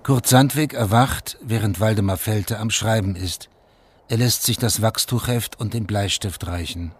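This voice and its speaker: An actor serving as the narrator of a radio crime drama, telling events in a measured, factual way. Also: male voice, fairly low pitch, husky. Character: sober omniscient storyteller of a crime chronicle.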